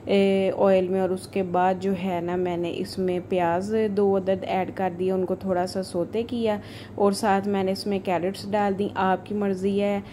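Even-toned woman in a noisy recipe voice-over. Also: mid-range voice, measured pace. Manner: informal, chatty, homely asides. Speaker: home cook, cooking channel host. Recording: voice-over with clear background noise.

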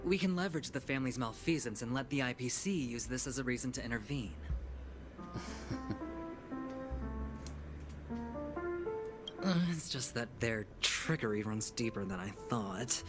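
A man voicing a suave, scheming young gambler in a video game, theatrical and deliberate, with breathy sighs. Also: medium pitch, lightly acted. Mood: calculating. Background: background music.

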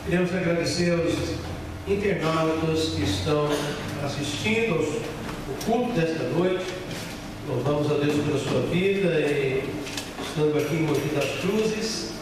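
A man's voice: fairly high pitch, a little slow. A Brazilian man, a pastor leading a church service, addressing his congregation. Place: large church hall, indoors. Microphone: far camera, voice over PA. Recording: very echoey church hall, distant, noisy.

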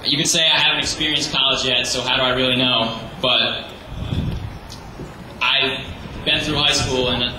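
A teenage boy giving an earnest, slightly halting graduation speech as salutatorian. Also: mid-range voice. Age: teens. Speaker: graduating student. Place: large hall or gym. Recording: echoey hall, far from the mic, noisy.

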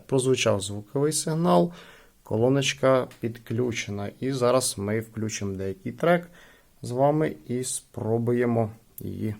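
A man giving a casual, hands-on product review, speaking haltingly as he thinks aloud. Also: mid-range voice, vocal fry. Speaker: gadget unboxing reviewer.

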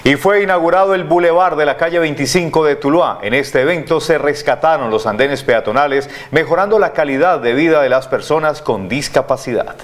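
A man's voice: a little high, a little fast, few pauses. A middle-aged man anchoring a studio newscast, formal and addressing viewers directly. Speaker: news anchor. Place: TV news studio.

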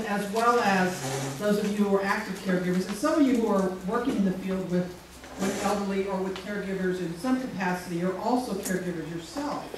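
A middle-aged woman with a strong, loud voice gives a chatty, joking talk with many fillers to a conference audience. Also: on the low side. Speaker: speaker. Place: civic center meeting room. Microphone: handheld mic, far camera. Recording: echoey hall from far off, noisy.

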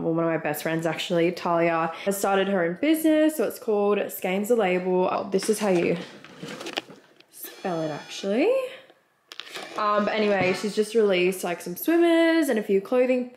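A young Australian woman vlogger talks in a casual, gushing way at a medium pitch. She sounds like she is showing things to a handheld camera, with clear noise behind her voice. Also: darkish tone. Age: 20s. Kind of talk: casual vlog chat, unboxing.

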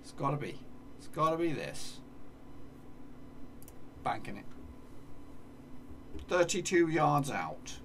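English streamer, 30s, gravelly fry, mic set back with noise behind, self-muttering casual game commentary. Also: male voice, fairly high pitch, sing-song, slow pace, pauses often, fairly bright tone, recorded from a distance. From England. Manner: mutters place names to himself, swears playfully. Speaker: gaming streamer. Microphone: desk mic, seen in webcam corner.

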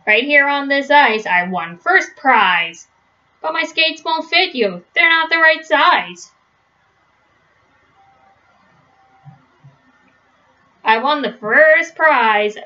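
A woman's voice: sits high, sharp tone, exaggerated voice. A woman voicing a boastful, overconfident father bear from a storybook, showily instructing his son with emphasis. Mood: proud, instructive. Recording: dull, lo-fi webcam sound.

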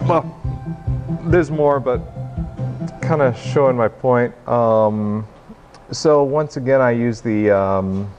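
A young man giving an informal tech demo talk in a hesitant, halting way, recorded in a meeting room. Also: mid-range voice, dull audio, over music. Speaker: presenter, game developer. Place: indoor hackerspace meeting room, small stage.

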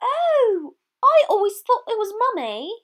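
A woman's voice: wildly swooping pitch, a little slow, exaggerated voice. A woman voicing a naive, sweet little girl pig in an amateur cartoon. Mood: innocent. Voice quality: high squeaky child voice.

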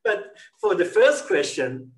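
Brief: older male moderator, sixties, friendly, somewhat higher voice, speaking on a video call. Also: sing-song, slow pace. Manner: friendly, joking, with fillers. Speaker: interviewer and moderator. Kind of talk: moderating a panel. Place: office with a banner. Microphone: laptop video call.

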